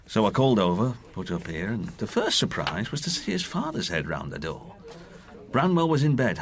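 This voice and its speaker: A low-voiced working man in a radio drama, candid and haunted by a friend's ruin. His pitch moves around a great deal as he talks. He is plain-spoken and halting, feeling his way through the memories.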